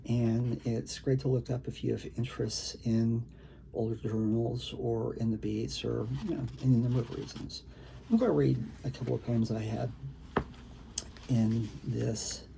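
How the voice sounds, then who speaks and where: A man with a gravelly, creaky voice, a poet talking casually and reading his own work in a halting, conversational way. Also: mid-range voice, dark tone, a little hiss or hum, rough recording. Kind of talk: casual talk and poetry reading.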